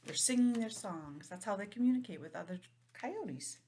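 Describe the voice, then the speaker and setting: A woman's voice: medium pitch, moderate pace. Woman reading to children, noisy recording. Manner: animated, simple explaining to young children. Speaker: teacher reading aloud to children. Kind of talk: storybook read-aloud with chatty asides.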